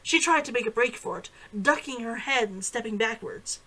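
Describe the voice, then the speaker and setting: High-voiced woman narrating a story expressively. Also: expressive, moderate pace, sharp tone, rough recording. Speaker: author reading own novel aloud. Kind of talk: expressive audiobook-style narration.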